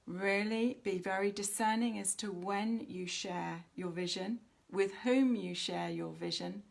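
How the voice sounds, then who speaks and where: A woman hosting a live spiritual oracle reading, speaking to viewers in a measured way with frequent pauses mid-sentence. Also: mid-range voice, vocal fry. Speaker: host of a spiritual card reading.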